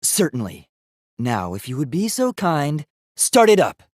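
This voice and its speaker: Casting: earnest young robot boy for a video game, very expressive pitch, courteous and literal-minded, close dry sound. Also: measured pace, dry recording, heavily acted. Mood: polite, earnest. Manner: formal, precise, literal-minded phrasing. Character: earnest, polite young male robot, naive but resolute.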